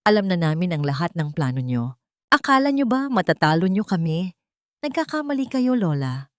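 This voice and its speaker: A cold, menacing daughter-in-law played by a woman narrator in an audio drama. She speaks with scornful threat and taunting, in a slightly low, dry-recorded voice. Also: very animated, normal speed, dry recording. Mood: gloating.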